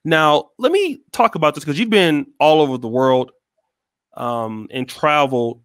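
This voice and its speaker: A man hosting a video call interview, relaxed and hesitant, often restarting his questions. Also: on the high side, unhurried, clean tone, dry recording, studio quality. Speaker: host. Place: small room indoors. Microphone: headset and studio mic.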